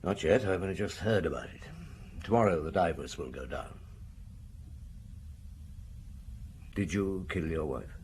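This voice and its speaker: An actor playing a senior police detective in a British radio drama, with a wry, measured, authoritative manner. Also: male voice, deep voice, darkish tone, natural voice. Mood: probing, grave. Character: dry, unhurried senior male police detective. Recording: noisy radio drama recording.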